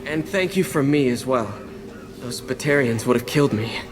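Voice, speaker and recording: An actor playing an earnest, polite and naive young clinic doctor in a video game. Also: male voice, mid-range voice, expressive, moderate pace, lightly acted. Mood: grateful. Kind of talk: video game character dialogue. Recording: long hall reverb, music behind.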